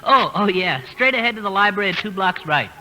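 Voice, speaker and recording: A man's voice: high-pitched, expressive, brisk, pushed and bright, full character voice. An actor playing a slangy, exclamatory young male college student in an old radio comedy. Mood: brash, puzzled. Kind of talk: 1940s radio comedy acting. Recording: noisy old radio broadcast recording.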